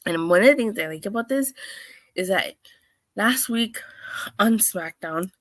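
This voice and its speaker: A woman with a hoarse, clogged voice from being under the weather, hosting a chatty solo review with lots of restarts. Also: mid-range voice, expressive, measured pace, no echo, studio quality. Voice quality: clogged, stuffy voice. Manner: chatty, rambling, with many restarts and fillers. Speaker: wrestling show reviewer, host. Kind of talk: casual solo review talk.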